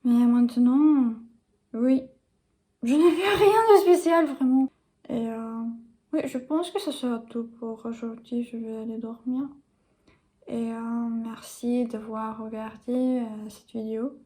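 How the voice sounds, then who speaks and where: A woman's voice: a little high, slow pace, mellow. A young woman who is not a native French speaker, speaking in a casual video diary, hesitant, with many fillers and restarts. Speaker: vlogger.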